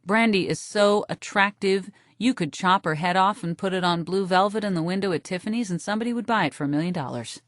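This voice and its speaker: A woman narrating a first-person novel for an audiobook with a wry, deadpan delivery. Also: mid-range voice, fast talker, hardly any pauses, no echo, clean studio sound.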